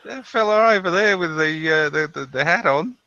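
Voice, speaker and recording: Casting: man doing a loud, gravelly Australian skipper, high and boisterous. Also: normal speed, no echo, muffled recording. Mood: gruff, hearty. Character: gruff Australian ship captain, older man, blunt.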